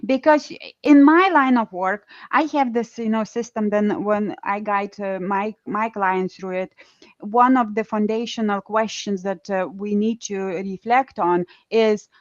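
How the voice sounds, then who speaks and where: A woman's voice: medium pitch, unhurried, no room sound, highs cut off. Host, woman, Eastern European accent, loose conversational delivery, dry close sound. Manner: chatty, many restarts and fillers. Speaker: podcast host. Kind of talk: casual conversation.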